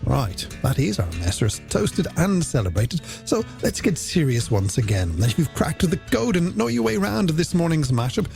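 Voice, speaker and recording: A man's voice: on the high side, very animated, normal speed, gravelly, few pauses, darkish tone. A man presenting late-night music radio, with playful, theatrical and wordy banter. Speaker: radio host. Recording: music bed behind the voice.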